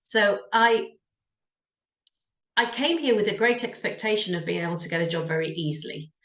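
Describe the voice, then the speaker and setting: A woman's voice: medium pitch, lively intonation, normal speed, a bit bright, roomy sound. A middle-aged woman from the UK with a British accent, telling her story in a frank, conversational way as an interview guest, with frequent ums. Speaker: guest, job search coach.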